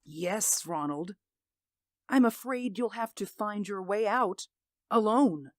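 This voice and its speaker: An actor playing a bitter, vengeful middle-aged stage actor in an old radio drama, theatrical and gloating, dropping into quiet menace. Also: male voice, high-pitched, slow pace, no echo, studio quality. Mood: menacing. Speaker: villain. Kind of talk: 1940s radio acting.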